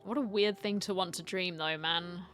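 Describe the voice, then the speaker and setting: A woman's voice: fairly low pitch, steady tone, moderate pace, fairly bright tone, soft background music. A woman streamer giving casual, playful, teasing commentary as she plays through a game. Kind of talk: casual reaction commentary, reading dialogue aloud.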